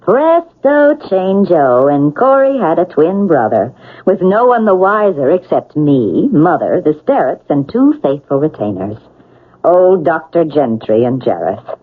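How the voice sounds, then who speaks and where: A woman's voice: deep voice, very animated, a little slow, breathy edge, heavily acted. An actress in a radio drama playing a cold, scheming, sardonic woman with a theatrical, calculating manner. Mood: mocking, bitter. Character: cold scheming housekeeper's daughter plotting murder, sardonic woman. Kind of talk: 1970s radio drama acting. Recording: muffled, lo-fi old radio broadcast.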